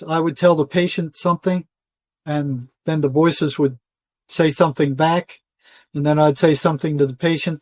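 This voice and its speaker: An older man speaks in a somewhat high-pitched voice. He tells stories in a loose, rambling, colloquial way, as a guest in conversation. The sound is dry, with very little room echo. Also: a little mellow. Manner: rambling, colloquial, acts out quoted dialogue. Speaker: interview guest, retired psychotherapist. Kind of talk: conversational storytelling, casual interview.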